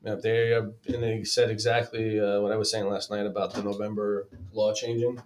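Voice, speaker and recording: A man's voice: fairly low pitch, flat delivery, moderate pace, a little hiss or hum. A man chatting informally as a panelist on a talk show. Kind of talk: casual chat.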